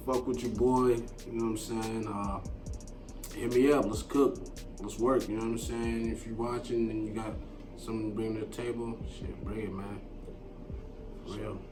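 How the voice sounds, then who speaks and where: A low-pitched man, picked up a little away from the mic over clear music. He talks in a loose, laid-back, rambling way, full of slang and swearing, as a producer being interviewed. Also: little pitch movement, normal speed. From born in Kenya to Somali parents, moved to America at eleven. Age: 20s. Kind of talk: casual, slangy interview chat. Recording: distant mic with music behind.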